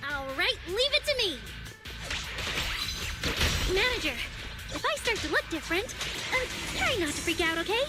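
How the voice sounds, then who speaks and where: A very high-pitched sweet android girl. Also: very animated, moderate pace, long pauses, over loud music. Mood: shy resolve. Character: sweet, insecure young female android idol. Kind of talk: video game voice acting.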